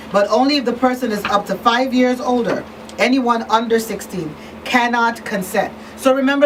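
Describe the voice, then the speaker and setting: A woman presenting a live talk show, partly from a script, often repeating words for emphasis. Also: on the high side. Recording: slightly distant, faint background noise.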